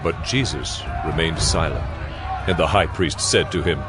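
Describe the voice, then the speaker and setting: A man's voice: extremely low pitch, normal speed, over a noisy crowd. A man narrating a dramatized Bible reading in a scripted storytelling style. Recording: music bed under the voice. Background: music.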